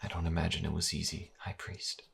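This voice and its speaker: A man playing a young priest in a fantasy audio drama, nervous, modest and earnest, speaking hesitantly with a husky, gravelly voice. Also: low-pitched, level tone, brisk, fairly bright tone, slight background noise, heavily acted. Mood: earnest, subdued. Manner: hesitant, stammering.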